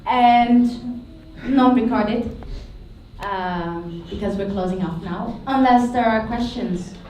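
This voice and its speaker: A woman moderating a panel who stutters and speaks haltingly. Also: a little high, darkish tone, slight background noise. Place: stage of an arts venue hall. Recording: echoey hall, voice from far off.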